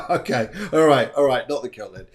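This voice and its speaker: Chatty host man, repeats himself. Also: mid-range voice. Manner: chatty, repeats words, jokey. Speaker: host and mediumship teacher. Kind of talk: casual interview chat. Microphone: video call.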